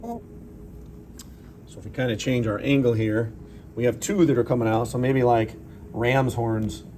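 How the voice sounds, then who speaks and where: A slightly raspy man, a chemistry teacher, narrating a lab demonstration off camera in a relaxed, explanatory way with fillers. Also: medium pitch, measured pace, slight background noise. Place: school chemistry lab with vents. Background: ventilation fans running.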